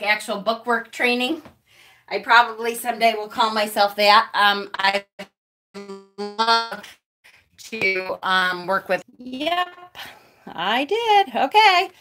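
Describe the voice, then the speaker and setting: Loud, bright-voiced woman hosting a live stream. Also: fairly high pitch. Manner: loud, chatty, upbeat. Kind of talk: casual live-stream chat greeting viewers. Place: small home apothecary room indoors. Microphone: camera set a few feet away. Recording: voice a little distant from the mic.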